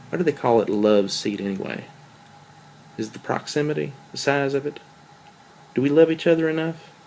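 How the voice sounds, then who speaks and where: A man's voice: medium pitch, vocal fry, slight background noise, lightly acted. A man playing a jealous, wry and insecure boyfriend in a table read of a stage play, conversational and questioning.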